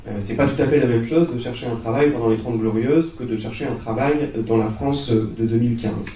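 A man's voice: medium pitch, fairly even intonation, fairly quick, warm tone, slight background noise. A man in his thirties giving a public lecture as a researcher, speaking into a microphone in a hall. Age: 30s. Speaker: lecturer, social science researcher. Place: conference hall, on stage. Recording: roomy, slightly distant hall sound.

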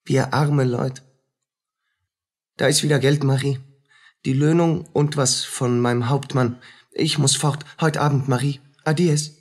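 A poor common soldier in a radio play. His voice is husky and unhurried, burdened with weariness and suspicion. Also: mid-range voice, steady tone. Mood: weary tenderness. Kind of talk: radio drama acting.

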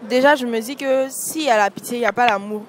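Vox pop, young woman, fairly high voice, casual and repetitive, handheld mic, light street noise. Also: quick pace. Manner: casual, repeats points with "en fait". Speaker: street interviewee. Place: city street at night beside traffic. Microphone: handheld mic held by interviewer.

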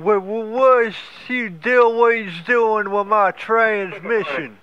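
A man's voice: high voice, takes it slowly, lo-fi sound, crowd noise. A man putting on an angry, stammering rural hillbilly who hurls folksy insults over the phone. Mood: indignant. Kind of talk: prank phone call.

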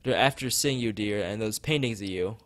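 A man voicing a discerning, blunt woman who owns an art gallery, giving a measured, frank appraisal in a visual novel. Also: on the low side, a bit bright. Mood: hesitant, polite. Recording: background music behind voice.

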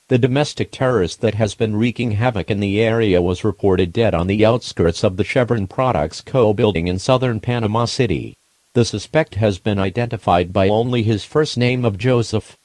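A man's voice: lowish voice, a little mellow, no room sound. A man's formal, impersonal synthetic voice reading a scripted emergency alert announcement.

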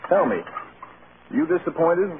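An actor playing a charming, self-mocking, hard-drinking film star in a flippant old-time radio drama style. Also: male voice, a little high, animated, unhurried, background noise. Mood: playful, flirtatious. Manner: flippant, wry. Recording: tinny vintage radio broadcast with music.

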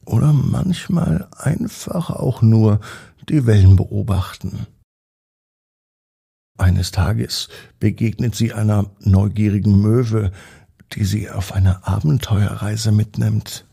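A man with a German accent narrating a bedtime story for children in a calm, gentle way, speaking straight to the young listeners. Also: on the low side, takes it slowly, vocal fry, husky.

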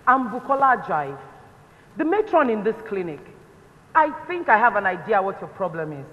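A woman's voice: medium pitch, very animated, normal speed, close to an everyday voice. A Nigerian woman on stage playing an earnest, insistent, motherly clinic matron. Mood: concerned. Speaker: stage actress. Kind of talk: stage play acting. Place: stage in a large hall. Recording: echoey stage hall, muffled.

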